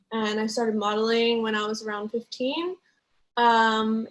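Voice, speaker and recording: Woman from denmark, fairly high voice, laid back, lots of ums. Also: low-quality recording. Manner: relaxed and hesitant, many ums. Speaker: panelist. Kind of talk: casual personal introduction.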